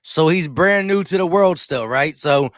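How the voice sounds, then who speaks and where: A man's voice: on the high side, measured pace, no room sound, clean studio sound. A man in his thirties chatting casually as a sports show host, emphatic and given to repeating himself. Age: 30s. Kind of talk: casual chat.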